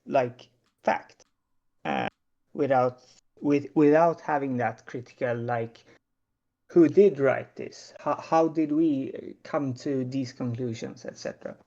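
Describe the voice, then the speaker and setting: A man with a Swedish accent talking in a casual panel discussion, hesitant and full of pauses and fillers. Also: mid-range voice, warm tone, lo-fi sound. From Sweden.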